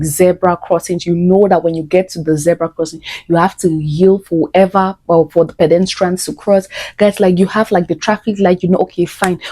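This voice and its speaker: A woman's voice: lowish voice, warm tone. A woman in her twenties from Cameroon, talking casually to her vlog viewers and often calling them "guys". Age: 20s. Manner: chatty, keeps saying "guys" and "like". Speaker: vlogger.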